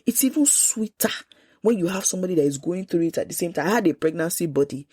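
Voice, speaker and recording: A Nigerian woman in her thirties hosting a solo podcast, congested with a sore throat, talking in an emphatic, animated way. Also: fairly low pitch, quick pace, husky, dry recording, clean studio sound. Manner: animated, emphatic, quotes people in lively asides. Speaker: podcast host.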